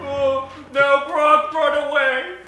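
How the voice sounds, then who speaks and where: A man playing a big, dim, heartbroken brute who booms out broken caveman sentences about himself in a comic radio play. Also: flat delivery, slow, far from the mic, full character voice. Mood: anguished rage. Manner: broken caveman grammar, shouted laments. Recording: echoey hall with loud music behind.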